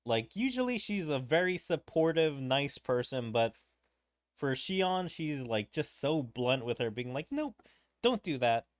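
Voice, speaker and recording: A man's voice: mid-range voice, expressive, a little slow, no echo, studio quality. A man casually commentating on a game he is streaming, chatty and rambling with lots of fillers. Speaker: gaming streamer. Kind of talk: casual commentary while reading a game aloud. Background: faint game music.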